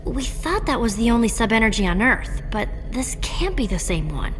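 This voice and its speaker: A woman's voice: a little high, big pitch swings, moderate pace, slight background noise. An actress voicing a smart, sensible teenage girl in a video game, earnest and reasoning. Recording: game audio with long reverb. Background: music.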